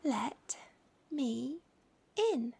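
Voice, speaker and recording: A woman's voice: a little high, extremely slow, creaky, mellow, husky, full character voice. A woman playing a lovestruck, possessive witch in an ASMR roleplay, cooing and coaxing with a condescending sweetness. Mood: insistent, controlling.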